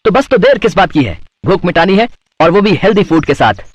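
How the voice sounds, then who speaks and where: A man's voice: high voice, very animated, a little fast, dry recording. A man playing a polite, enthusiastic food blogger in a cartoon story. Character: cheerful male food blogger, family man. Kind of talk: Hindi moral-story cartoon voice acting.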